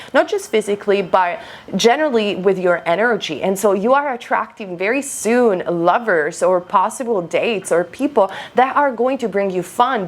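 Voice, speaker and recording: Woman tarot reader recovering her voice. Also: medium pitch. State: recovering from voice loss. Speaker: tarot reader, online host. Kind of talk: tarot card reading addressed to viewers, conversational.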